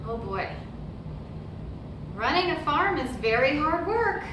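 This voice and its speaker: A woman reading a children's picture book aloud as a storyteller, with deliberate, emphatic phrasing and brief asides. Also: high voice, sing-song. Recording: very echoey room, distant mic, noisy background.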